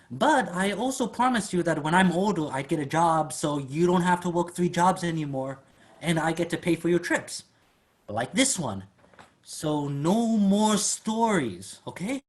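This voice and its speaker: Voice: medium pitch, expressive, measured pace, close to an everyday voice. An actor playing a flustered, defensive grown son, stammering and evasive, in a staged comedy reading. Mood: placating.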